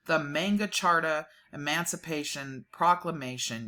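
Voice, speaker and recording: Female host, deep register, crisp bright tone, dramatic document reading broken by informal commentary.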